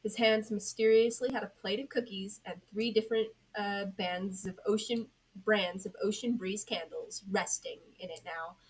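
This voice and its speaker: A woman's voice: medium pitch, steady tone, normal speed, hardly any pauses, husky, poor audio. A young woman reading scripted story narration as an amateur audio fiction narrator. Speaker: podfic narrator.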